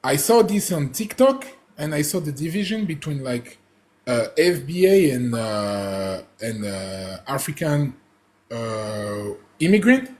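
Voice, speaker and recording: A man's voice: medium pitch, big pitch swings, a little mellow. Man searching for words. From France. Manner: halting, many fillers and restarts, searching for words. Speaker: caller on a panel. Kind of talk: casual chat.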